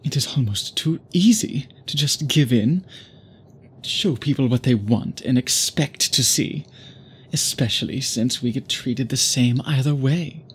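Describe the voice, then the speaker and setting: A man performs as an immortal male vampire suitor, obsessed and weighed down by guilt. His medium-pitched voice moves very slowly in formal, halting phrases, with a brooding intimacy suited to an ASMR drama. Noticeable background ambience sits behind him. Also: a little hiss or hum, natural voice. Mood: bitter, intense.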